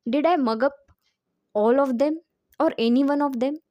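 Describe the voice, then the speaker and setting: High-voiced woman, non-native English speaker. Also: normal speed, dry recording, dull audio. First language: not English. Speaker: English teacher giving advice. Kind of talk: informal spoken lesson drawing on personal experience.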